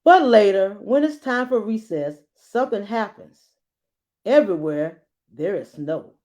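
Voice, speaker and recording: A woman reading a storybook aloud like a teacher, adding expressive explanations and checking in with her listeners. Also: medium pitch, measured pace. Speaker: children's book read-aloud teacher.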